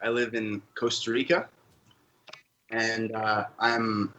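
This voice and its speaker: A man's voice: fairly low pitch, level tone, takes it slowly, pushed and bright, lo-fi sound, slight background noise. A man who grew up in Canada, a podcast guest telling his own story in an earnest, open way. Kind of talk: casual interview talk.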